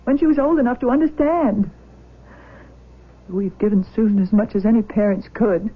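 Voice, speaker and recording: A woman's voice: mid-range voice, normal speed, slightly rough, close to an everyday voice. An actress playing an anxious, guilt-ridden middle-aged mother in a radio drama, hesitant and fretful in delivery. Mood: remorseful. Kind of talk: 1970s radio drama acting. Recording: thin phone-like old radio recording.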